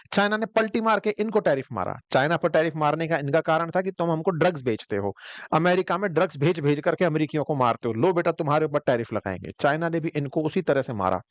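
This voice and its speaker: A man with a fairly high, very lively voice tells political news like a story. He is mocking and theatrical, recorded close and dry. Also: fast, dry recording. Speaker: current affairs commentator. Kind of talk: conversational political commentary.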